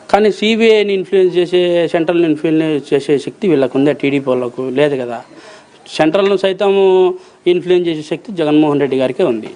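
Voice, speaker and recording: Male interview guest, Rayalaseema Telugu accent, very quick delivery, slightly high pitch, emphatic, repetitive, rhetorical questions. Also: darkish tone. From Rayalaseema, Andhra Pradesh, India. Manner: emphatic, insistent, repeats phrases and asks rhetorical questions. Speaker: interview guest, approver witness in a criminal case. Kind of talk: interview answers, colloquial account.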